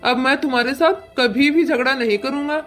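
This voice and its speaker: A woman plays an ailing husband in a cartoon moral story. The voice is high and plaintive, full of earnest regret, with faint music behind it. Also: fairly quick, close to an everyday voice. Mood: apologetic, tender. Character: remorseful adult son and husband, ailing, pleading.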